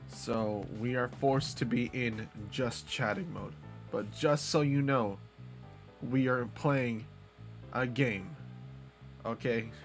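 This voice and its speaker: A man's voice: medium pitch, slow pace, faint music behind. A young man chatting casually on a live stream, rambling in a laid-back way with lots of fillers.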